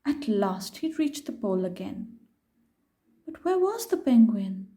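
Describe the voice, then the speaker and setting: A woman reading a picture book aloud to children in an expressive, unhurried way, pausing to put questions to her young listeners. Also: mid-range voice.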